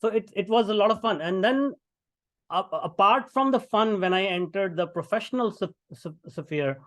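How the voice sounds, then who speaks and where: A man's voice: high-pitched, moderate pace, fairly bright tone, no room sound. A middle-aged man from India with an Indian English accent, a podcast guest speaking conversationally with many fillers and restarts. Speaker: guest, tech founder. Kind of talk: podcast interview.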